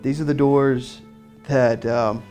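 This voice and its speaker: A man, the groom, looks back on his wedding day in a relaxed, chatty voice-over. He laughs a little as he remembers. Soft music plays underneath. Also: mid-range voice, slow. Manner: casual, laughing, reminiscing. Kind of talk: voice-over recollection.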